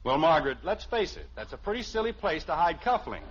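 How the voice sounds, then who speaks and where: A man's voice: fairly high pitch, very animated, fairly quick, a bit bright, highs cut off. A man playing an exasperated, indignant middle-aged suburban father in theatrical 1950s radio sitcom style, on an old recording. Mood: self-justifying.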